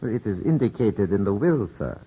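A muffled old radio broadcast carries a courteous Italian lawyer. His voice is low and slightly husky. He speaks formally and with measure, smoothly evasive in every reply. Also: very animated, moderate pace. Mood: grave, composed. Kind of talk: 1950s radio drama acting.

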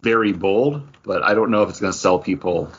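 A man's voice: on the low side, fairly quick, husky, highs cut off. A man co-hosting a podcast, chatting casually about comic books in a rambling, conversational way. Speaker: podcast co-host. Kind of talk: casual comic book review chat.

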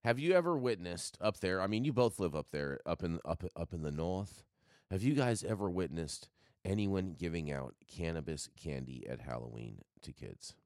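A man's voice: deep voice, expressive, moderate pace, darkish tone, dry recording, studio quality. A man hosting a casual news chat, sarcastic, often stumbling and restarting mid-sentence. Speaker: news show host.

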